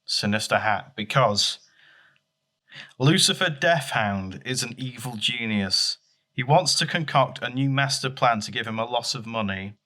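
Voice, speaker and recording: A man's voice: medium pitch, lively intonation, unhurried, pushed and bright. A man hosting a comedy podcast in casual, joking banter. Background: music.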